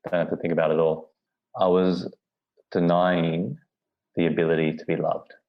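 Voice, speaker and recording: A man speaking as a podcast guest, talking candidly and haltingly, often restarting his words. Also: sits low, flat delivery, vocal fry, dull audio. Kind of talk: candid personal conversation.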